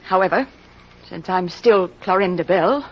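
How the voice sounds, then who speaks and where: A woman playing a poised, coolly self-assured fiancée in an old-time radio mystery, speaking in a measured, pointed way. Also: lowish voice, animated. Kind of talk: old-time radio detective drama acting. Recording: dull, band-limited old radio recording.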